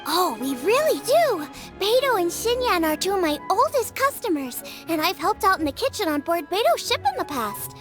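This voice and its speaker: An eager teenage girl chef from a video game. Her girlish voice is high and very animated, with a confident, chatty delivery. Music is clearly audible underneath. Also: moderate pace, few pauses. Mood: friendly, hopeful.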